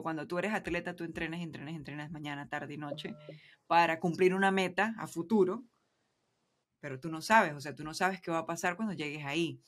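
A young adult woman with a Venezuelan accent, a business guest chatting casually in a podcast interview and dropping English words into her Spanish. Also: fairly low pitch. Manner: chatty, mixes English words, checks in with "¿sí me explico?". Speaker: guest entrepreneur.

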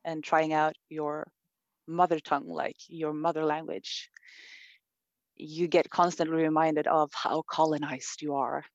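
A woman's voice: sits low, little pitch movement, measured pace, no room sound, muffled recording. A woman from Sweden with a Swedish accent, speaking thoughtfully in an interview, with many fillers and restarts. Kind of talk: reflective conversation.

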